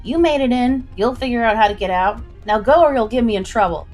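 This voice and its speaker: A woman's voice: a little high, a little fast, a little mellow, faint music behind, natural voice. A woman reading the part of a busy, brusque servant boy in a story, curt and dismissive. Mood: impatient, dismissive.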